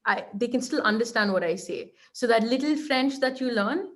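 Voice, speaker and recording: A woman's voice: fairly high pitch, expressive, moderate pace, fairly bright tone. A young woman with an Indian accent, a law lecturer speaking as an interview guest, giving earnest advice with frequent restarts and "right?" checks. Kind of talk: online interview conversation.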